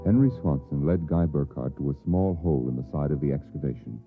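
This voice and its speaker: Grave American storyteller, very low voice, vintage radio, faint music behind. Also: male voice, lively intonation, fairly quick. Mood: ominous, measured. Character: grave, dramatic storyteller of uncanny tales. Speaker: narrator. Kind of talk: scripted old-time radio narration. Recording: tinny, band-limited vintage radio recording.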